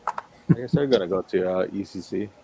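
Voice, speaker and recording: A man's voice: low voice, normal speed. A man joking casually as a panelist on a podcast. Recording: clearly noisy group recording.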